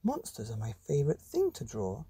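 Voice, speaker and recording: Man acting young storybook boy, stubborn, matter-of-fact, short replies, husky, mellow. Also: medium pitch, huge pitch swings, normal speed, no room sound, heavily acted.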